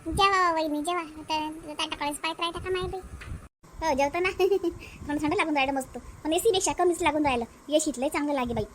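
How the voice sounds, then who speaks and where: Casting: female actor as teasing cartoon village woman, Varhadi Marathi accent, extremely high voice, slight background noise. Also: fairly quick, a bit bright. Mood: playful, chatty. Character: chatty, teasing village women at a picnic lunch. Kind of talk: amateur cartoon comedy dialogue.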